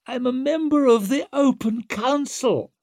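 A disgraced nobleman for an audiobook, pitched very high and swooping. He is indignant and wheedling, with a shrill, melodramatic self-pity. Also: very animated, slow pace, gravelly, no room sound, clean studio sound, full character voice. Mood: panicked wailing. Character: whining, desperate, self-pitying accused nobleman, blustering and shrill.

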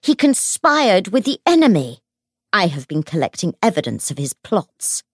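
A woman voicing a menacing, raging noblewoman in an audiobook, with a hoarse, hissing, theatrically accusing delivery. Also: medium pitch, very animated, moderate pace, dry recording, studio quality.

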